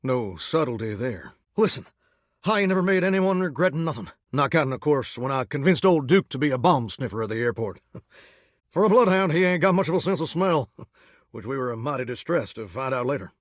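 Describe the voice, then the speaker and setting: A man's voice: medium pitch, animated, a little fast, no echo, studio quality, close to an everyday voice. An actor voicing a vain, melodramatic male shower spirit who dreams of singing stardom, talking in a folksy, theatrical drawl for a video game. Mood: folksy, chatty. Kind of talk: video game character dialogue.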